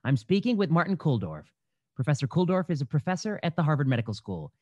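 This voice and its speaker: A man's voice: on the high side, sing-song, quick pace, darkish tone, husky, no room sound. A young man hosts a podcast interview from home through a headset. He thinks aloud, with fillers and restarts. The sound is dull and dry. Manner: thoughtful, hesitant, many fillers and restarts. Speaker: podcast host. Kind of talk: conversational interview. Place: home room. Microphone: headset mic on a video call. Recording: dull, dry video call audio.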